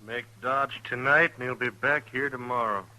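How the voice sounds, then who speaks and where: An actor playing a lonely, resigned American stage station keeper in a radio western, terse and subdued. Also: male voice, medium pitch, fairly even intonation, moderate pace, sharp tone, dry recording, soft background music, poor audio. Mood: guarded. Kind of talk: old-time radio western acting. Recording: vintage radio recording.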